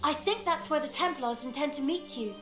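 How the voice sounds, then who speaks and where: An actress playing a young female scout giving a brisk report in a game. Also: high voice, natural voice. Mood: urgent. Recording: echoey game audio with music.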